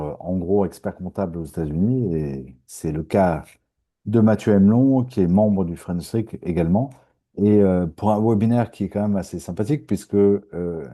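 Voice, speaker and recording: A man hosting a webinar over a video call, friendly and halting, with fillers. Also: low voice, warm tone. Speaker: webinar host.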